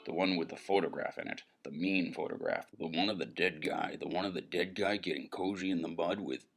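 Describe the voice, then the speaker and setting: An actor playing a world-weary, wisecracking private eye, narrating in hard-boiled noir style with a gravelly voice. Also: male voice, low voice, fairly even intonation, brisk, noisy background. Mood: wry, weary. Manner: brooding, evasive wordplay.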